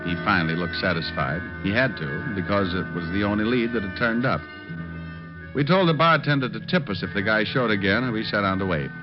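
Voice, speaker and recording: A man's voice: fairly low pitch, a little fast, muffled recording, natural voice. An American man playing a sardonic, cool-headed private detective in 1940s radio drama style, with dry, flippant delivery. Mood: wry narration. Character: wisecracking, cool-headed male private detective narrating his case. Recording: band-limited vintage radio recording with music.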